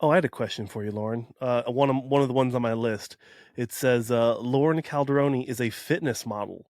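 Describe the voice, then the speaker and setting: A man's voice: medium pitch, fairly quick, darkish tone, no room sound, studio quality. A man from Wisconsin hosting a podcast in casual chat, joking and self-deprecating. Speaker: podcast host.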